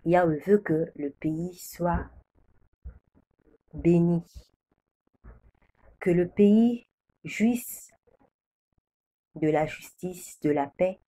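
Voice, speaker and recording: Slow, mellow-voiced woman from Congo. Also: on the low side, rough recording. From Democratic Republic of the Congo. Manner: solemn, repetitive declarations. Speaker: preacher sharing a prophecy. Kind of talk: religious prophetic testimony.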